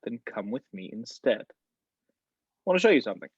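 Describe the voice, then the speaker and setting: A man playing a polished, calm middle-aged spy mentor in an amateur table read. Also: fairly low pitch, brisk, slightly rough, no echo, highs cut off. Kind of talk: amateur table read over video call.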